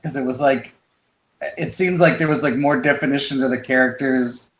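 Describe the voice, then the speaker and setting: A roomy, slightly muffled recording of a middle-aged man in casual conversation. His voice sits at an average pitch, and he talks informally. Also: fairly even intonation, moderate pace, a bit bright. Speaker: podcast co-host. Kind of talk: casual chat.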